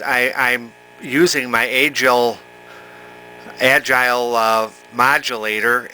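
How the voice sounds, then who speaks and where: An older man giving a casual, unscripted hobbyist demonstration, talking in a folksy, halting way as he thinks aloud. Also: mid-range voice, sharp tone, a little hiss or hum, low-quality recording. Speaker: hobbyist demonstrating a vintage television he repairs.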